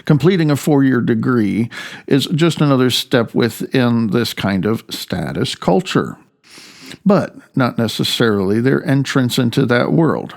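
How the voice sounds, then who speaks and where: A man narrates nonfiction as the author of the book. His voice is mid-pitched with plenty of gravelly fry. He phrases things deliberately, drawing out words he wants to stress. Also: expressive, a little mellow. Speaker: author reading own audiobook.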